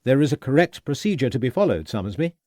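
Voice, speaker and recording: A man's voice: mid-range voice, very animated, fast, a little mellow, no room sound, studio quality, voice pushed up. A man voicing a composed, proper clergyman in an audiobook mystery. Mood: firm. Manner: firm, proper.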